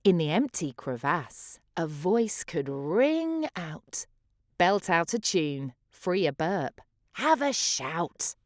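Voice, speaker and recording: A woman reading a rhyming picture book aloud with expressive, theatrical rhythm. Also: fairly low pitch, slow pace, no room sound, clean studio sound. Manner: theatrical, rhythmic delivery of rhyme. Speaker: children's story reader.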